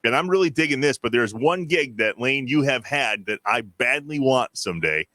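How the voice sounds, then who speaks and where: Male American host, medium pitch, bright and punchy, dry recording, informal sports interview. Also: expressive, moderate pace, no room sound. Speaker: podcast host. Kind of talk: casual interviewing and chat.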